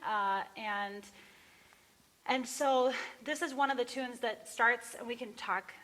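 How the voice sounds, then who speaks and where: A woman speaking casually and personally before a performance, earnest and halting, recorded live in a barn. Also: a little high, a bit bright, big-room sound. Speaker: singer and songwriter introducing own songs. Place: barn, small live gathering.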